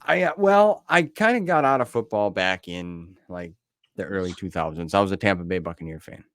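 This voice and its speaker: A man's voice: low voice, expressive, moderate pace, a bit bright, clean tone, dry recording, clean studio sound. A middle-aged American man hosting a podcast, chatting casually in a folksy, plain-spoken way. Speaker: podcast host. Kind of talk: casual chat.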